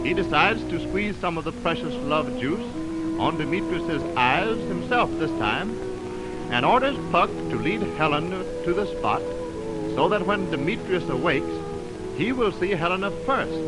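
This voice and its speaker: A man's voice: on the high side, low-quality recording. A man giving scripted narration for a 1920s radio drama, in an old broadcast recording. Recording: 1929 radio aircheck from optical film. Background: loud music.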